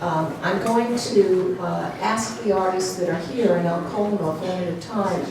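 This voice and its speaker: A woman curator giving halting opening remarks at a gallery exhibition, filling pauses with ums and uhs. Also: fairly low pitch, steady tone, a crowd in the background. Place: gallery room with an audience. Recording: echoey room from far off, noisy.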